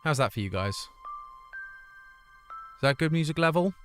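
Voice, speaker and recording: A man's voice: on the high side, expressive, normal speed, fairly bright tone, faint music behind. A man hosting a charity livestream, chatting casually and earnestly urging viewers to donate while still getting over an illness. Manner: earnest, pleading appeals to chat, rambling restarts. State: recovering from an illness, voice not at full strength.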